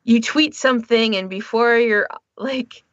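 A woman's voice: a little high, a little slow, no room sound, dull audio. A woman co-hosting a podcast, explaining her thoughts at length in a casual panel discussion. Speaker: podcast co-host.

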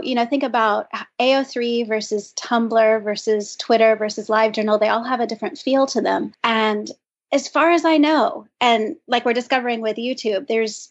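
A woman co-hosting a podcast, chatting casually and thinking aloud with lots of "like". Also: fairly high pitch. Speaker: podcast co-host. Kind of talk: casual discussion. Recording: dull lo-fi sound.

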